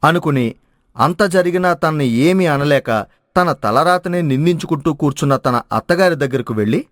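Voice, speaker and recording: A man's voice: a little high, lively intonation, moderate pace, no echo, clean studio sound. A man narrating a scripted moral story as its storyteller.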